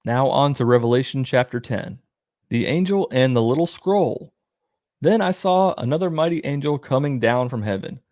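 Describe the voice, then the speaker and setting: A man with a mid-pitched voice reads aloud as a narrator and host. He is plain-spoken and casually irreverent. The recording is dry, with hardly any room sound. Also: expressive, moderate pace, a little mellow, no room sound. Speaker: host reading and commenting on scripture.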